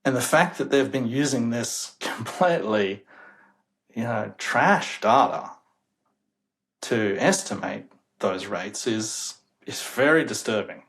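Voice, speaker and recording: A man's voice: medium pitch, animated, slow, a bit bright. Emphatic young man explaining. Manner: emphatic, incredulous, chuckles while making points. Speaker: demographer guest. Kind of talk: casual interview talk.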